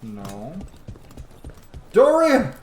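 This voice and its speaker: Animated male gamer, roomy sound. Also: on the high side, very slow, slight background noise. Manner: reactive, informal, chatty. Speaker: gamer, channel host. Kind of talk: casual game playthrough commentary.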